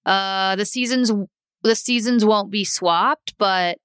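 Bright, chatty woman podcast host. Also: medium pitch, takes it slowly, smooth, no echo, studio quality. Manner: chatty, informal, opinionated. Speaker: podcast co-host giving advice. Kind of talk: casual advice chat.

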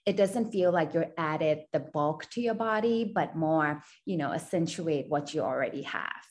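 A woman from Thailand, a brand founder and podcast guest, who talks in an earnest, steady way and fills her speech with "you know.". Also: low-pitched, moderate pace. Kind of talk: podcast interview.

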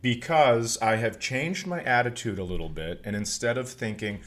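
A man's voice: mid-range voice, normal speed, fairly bright tone. A middle-aged American man chatting casually and telling funny stories as a podcast host. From northern Minnesota, United States. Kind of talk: casual comedic chat and storytelling.

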